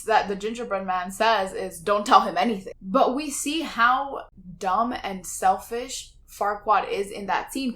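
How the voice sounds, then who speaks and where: A woman's voice: mid-range voice, a bit bright. A woman in her twenties whose first language is Spanish, co-hosting a casual podcast and chatting with lots of fillers. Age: 20s. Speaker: podcast co-host. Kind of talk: casual chat.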